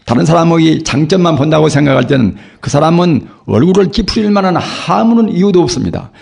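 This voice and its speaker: Older male monk, hoarse from a cold, earnest sermon, rhetorical questions, slightly high pitch. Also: expressive, fast, darkish tone. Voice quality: gravelly, husky. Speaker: Buddhist monk giving a dharma talk. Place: temple dharma hall.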